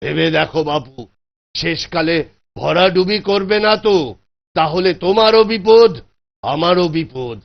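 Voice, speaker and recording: A man's voice: animated, unhurried, creaky, long pauses, heavily acted. A man voicing an anxious, exasperated Maharaja in a cartoon, commanding yet fretful. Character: anxious, exasperated male Maharaja holding court. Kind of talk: Bengali cartoon voice acting. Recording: muffled low-fidelity track with music.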